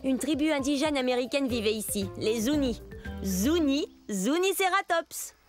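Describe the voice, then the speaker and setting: A high-pitched, perky cartoon dinosaur, chirpy and friendly. The little horned creature explains itself to young viewers, with music playing underneath. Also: female voice, expressive, moderate pace, a little mellow. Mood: cheerful, proud. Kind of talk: children's educational cartoon monologue.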